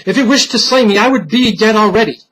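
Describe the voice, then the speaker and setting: A man's voice: high voice, quick pace, a bit bright, voice pushed up, rough recording. A man voicing a guilt-ridden, haunted wicked man in a horror story, dramatic and tense, torn between fear and defiance. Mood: bitter defiance. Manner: dramatic, tense muttering and outbursts.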